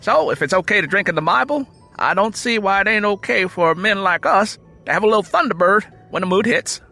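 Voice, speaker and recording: A man performs an earnest young country churchman in an audio drama, high and bright. He speaks steadily and respectfully in folksy Southern dialect, polite yet set on his purpose, with lively pitch. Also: a little fast, creaky, soft background music, full character voice. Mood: friendly. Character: earnest young rural churchman suitor, twenty-seven, polite but determined.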